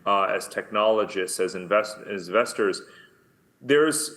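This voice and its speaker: A man's voice: on the low side, unhurried, fairly bright tone. A middle-aged man with a New York accent, speaking by video call at a conference, stressing his points with repeated "right?" and "you know". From United States. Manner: emphatic, repetitive, says "right?" and "you know" often. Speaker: keynote speaker.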